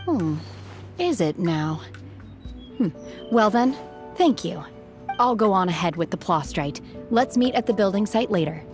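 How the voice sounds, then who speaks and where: A woman voicing a reserved, solitary young woman in a video game, speaking in a formal, measured and detached way with quiet gentleness. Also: mid-range voice, big pitch swings. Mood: calm. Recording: game audio with music behind.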